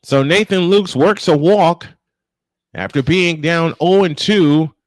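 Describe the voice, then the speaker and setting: A man's voice: on the high side, slow pace, no room sound. A man calling live baseball play-by-play as a sportscaster. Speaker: play-by-play commentator on a live stream.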